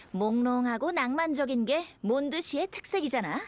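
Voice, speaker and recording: A woman's voice: high voice, lively intonation, moderate pace. A woman voicing a carefree, playful young male bard with a boyish, lyrical delivery in an anime game. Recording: music behind the voice.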